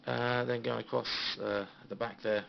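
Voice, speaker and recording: A British man giving a casual, halting, understated tour of his hobby equipment, as an enthusiast showing viewers around. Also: medium pitch, little pitch movement, creaky. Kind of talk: casual informal show-and-tell. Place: small radio room at home. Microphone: handheld phone. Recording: dull phone recording with faint noise.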